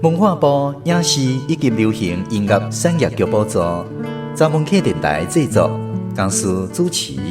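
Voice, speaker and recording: A man's voice: medium pitch, very animated, a little slow, over loud music. A man with a Taiwanese accent narrating a scripted story dramatically as a radio host.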